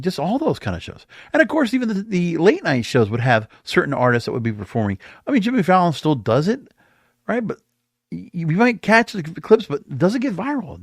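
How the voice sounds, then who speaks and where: A man's voice: a little high, no room sound, clean studio sound. A man hosting a solo podcast, giving opinionated commentary in an emphatic, rambling way and often repeating himself. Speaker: podcast host.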